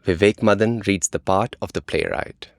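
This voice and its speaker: A man's voice: on the low side, expressive, moderate pace, creaky, music in the background. A man with an Indian accent co-hosting a podcast in scripted narration. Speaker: podcast co-host.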